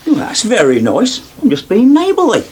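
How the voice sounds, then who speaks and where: An actor playing a teasing, wily farm lad in a radio soap. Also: male voice, high voice, huge pitch swings, normal speed, a little mellow, a little hiss or hum. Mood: wily, persuasive. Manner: teasing, sly, needling. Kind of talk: British radio soap acting.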